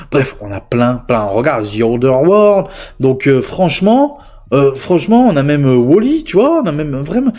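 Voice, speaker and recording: A young man hosts a relaxed video about tech bargains and free games. He rambles and circles back on his words with a steady, everyday pitch, chatting straight to his viewers. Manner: chatty, rambling, lots of fillers and repeats. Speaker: tech deals YouTuber. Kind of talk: casual deal roundup vlog.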